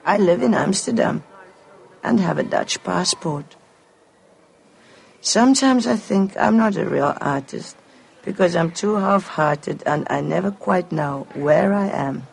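A man from South Africa, a native Afrikaans speaker, reading short, measured statements in a recorded piece. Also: high-pitched, creaky, a little hiss or hum, low-quality recording. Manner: calm, measured, plain sentences. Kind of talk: recorded spoken statement in a video.

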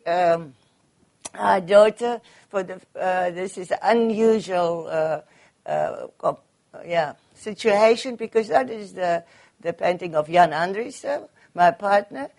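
Slow, halting woman artist lecturing. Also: fairly low pitch, a little mellow. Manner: halting, many fillers and restarts. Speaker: artist giving a talk. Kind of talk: hesitant lecture on her paintings.

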